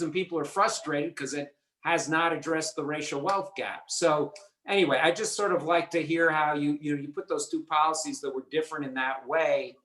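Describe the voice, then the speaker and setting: A man's voice: fairly high pitch, fairly bright tone, roomy sound, poor audio. A man asking a long, rambling question after a lecture, hesitant and full of restarts, over a video call. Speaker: audience member asking a question.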